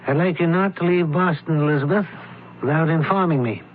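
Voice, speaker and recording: An actor playing a formal, probing, commanding criminologist in a radio mystery drama. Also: male voice, a little high, brisk, lightly acted. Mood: inquisitive. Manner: formal, precise questioning. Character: male criminologist and investigator, probing, commanding, learned. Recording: noisy, tinny old radio broadcast.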